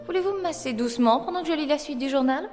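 Woman acting high-voiced, coquettish young opera apprentice. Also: fast talker, darkish tone, lightly acted. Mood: teasing, poised. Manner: coquettish, commanding, formal period speech. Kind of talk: French audio drama acting. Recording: long reverb, music behind.

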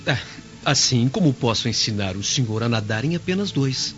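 A man's voice: mid-range voice, expressive, natural voice. A man acting a composed, shrewd slave. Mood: calm, knowing. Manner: composed, measured, quietly witty. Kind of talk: radio drama acting. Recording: dull lo-fi radio drama, music underneath.

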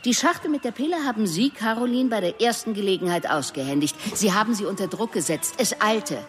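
A woman's voice: mid-range voice, animated, a little fast, soft background music, lightly acted. An actress playing a sardonic, menacing police detective in a radio drama, needling and sarcastic as she questions suspects. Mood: accusing, triumphant. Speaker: police investigator.

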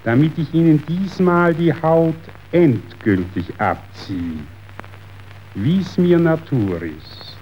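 An actor playing a ruthless, grandiose meat tycoon in a declamatory, emphatic radio drama style. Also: male voice, fairly high pitch, lively intonation, slow pace. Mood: scheming, menacing. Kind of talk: 1930s epic theatre radio drama acting. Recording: dull, noisy old radio recording.

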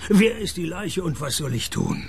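An actor playing a cynical, world-weary private detective in a radio play, with dry, sardonic wisecracks. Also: male voice, fairly high pitch, very animated, normal speed, creaky, darkish tone, a little hiss or hum.

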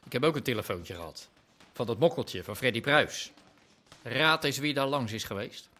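A man's voice: medium pitch, lively intonation, normal speed, sharp tone. A man acting a suspicious, menacing gambling boss and slumlord in a radio drama, speaking in a curt, sardonic way. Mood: distrustful.

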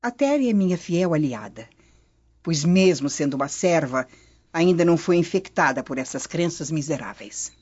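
Woman acting a haughty, low-voiced Roman noblewoman. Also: lively intonation, normal speed, darkish tone, breathy edge. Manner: imperious, formal. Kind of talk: radio drama acting. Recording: dull lo-fi audio.

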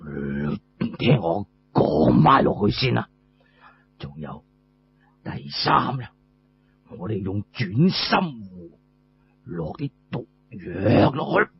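A man playing a boastful, scheming warlord in Cantonese storytelling, talking in a pompous, drawn-out way. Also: medium pitch, sing-song, creaky, slightly rough, pitched below the performer's own voice, exaggerated voice. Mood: boastful, confiding. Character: boastful scheming male warlord, drinking and gloating. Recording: muffled lo-fi recording.

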